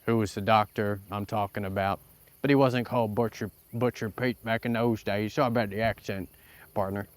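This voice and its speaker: A man's voice: mid-range voice, fairly even intonation, measured pace, long pauses, natural voice. A man doing a coughing Old West townsman with a put-on drawl. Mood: gossipy. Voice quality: put-on western accent. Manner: rambling, calls people partner. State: coughing, getting sick. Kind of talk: roleplay in a western game.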